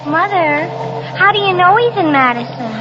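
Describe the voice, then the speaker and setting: An actress playing a naive young married daughter in a 1940s radio drama, innocent and full of questions. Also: female voice, very high-pitched, expressive, normal speed, background noise. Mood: naive, questioning. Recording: dull old radio recording.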